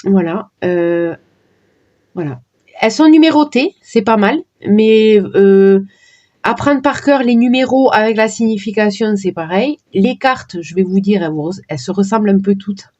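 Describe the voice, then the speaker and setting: A woman casually presenting her oracle card decks, talking in a hesitant way with many pauses and fillers. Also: mid-range voice, lively intonation, a little mellow, lo-fi sound. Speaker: card reader vlogger.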